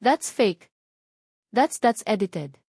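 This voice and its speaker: A woman's voice: mid-range voice, sing-song, slow, mellow, slightly rough, dry recording, clean studio sound, exaggerated voice. A Filipino woman voicing a scheming male villain in a story, agitated and defiant. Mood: panicked denial. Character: scheming male villain, panicked and angry when exposed.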